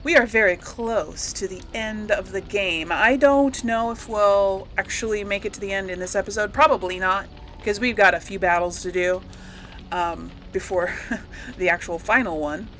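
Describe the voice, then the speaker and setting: A woman's voice: medium pitch, normal speed, fairly bright tone, a little hiss or hum. A woman giving casual, rambling let's-play commentary to viewers, thinking aloud as she goes. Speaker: gaming video host.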